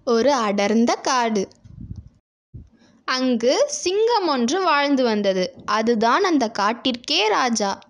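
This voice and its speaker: A woman storyteller with a very high voice narrates a children's tale. She reads slowly from a script, in the style of a bedtime story. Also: big pitch swings, noisy background.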